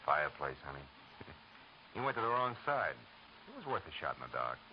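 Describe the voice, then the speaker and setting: An actor playing a tough, wry private detective in a 1940s radio drama, terse and commanding. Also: male voice, very deep voice, very animated, fairly quick, slight background noise. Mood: dry, easygoing. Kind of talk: 1940s radio acting and first-person noir narration. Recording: dull old radio recording with music.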